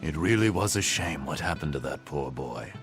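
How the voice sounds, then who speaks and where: Deep, kindly villager. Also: male voice, lively intonation, moderate pace, music in the background. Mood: sorrowful. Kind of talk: dramatic anime game voice acting.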